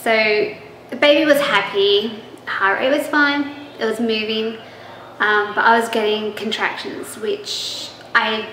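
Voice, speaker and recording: A woman's voice: on the high side, fairly even intonation, slow pace, a bit bright. A young woman giving a casual pregnancy vlog update, chatty with lots of ums and restarts, and she is losing her voice. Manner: chatty, many ums and restarts, laughs easily. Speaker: pregnancy vlogger. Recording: echoey room with faint noise.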